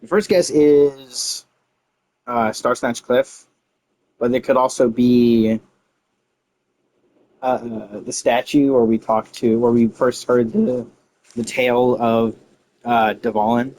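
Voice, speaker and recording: A man's voice: mid-range voice, fairly even intonation, slow pace, pauses often, darkish tone, slight background noise, poor audio. A man giving casual game playthrough commentary as a streamer, musing and thinking aloud.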